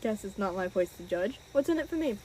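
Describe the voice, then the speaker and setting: A woman plays a world-weary private eye in an old radio mystery. The voice sits at a medium pitch, wry and understated, moving between narration and dialogue. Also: expressive, fast, dark tone, a little hiss or hum, natural voice, poor audio.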